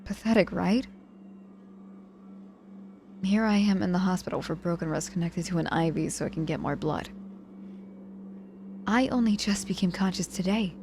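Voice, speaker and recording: A woman playing a cocky but softening injured witch mentor in an ASMR audio roleplay, dryly teasing, apologetic and protective with hesitant pauses. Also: on the low side, slight background noise, close to an everyday voice. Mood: worried, protective.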